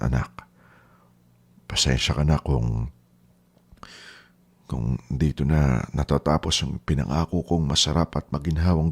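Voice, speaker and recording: An actor playing a gentle, apologetic middle-aged father who has fallen ill, speaking earnestly. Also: sits low, gravelly. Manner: earnest, pleading. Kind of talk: radio drama acting.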